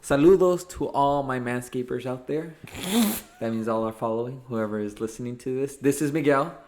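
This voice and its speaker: A man's voice: mid-range voice, moderate pace, a little mellow, slight background noise. A young man hosting a casual podcast chat, upbeat and teasing, laughing often with his co-hosts. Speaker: podcast host.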